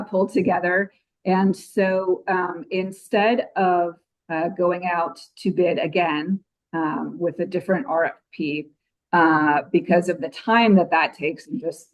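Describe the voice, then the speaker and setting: Slightly hoarse woman giving a meeting update. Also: on the low side, low-quality recording. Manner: frequent ums, apologetic. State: scratchy voice. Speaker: commission member giving updates. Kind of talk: meeting report.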